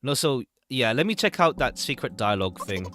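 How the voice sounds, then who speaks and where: Loud music plays behind a man streaming a game. His mid-pitched voice swings around in a lively way. He comments casually, often stopping, repeating himself and thinking out loud. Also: fairly quick. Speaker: streamer playing a visual novel. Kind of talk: casual gameplay commentary with read-aloud dialogue. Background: jazzy game background music.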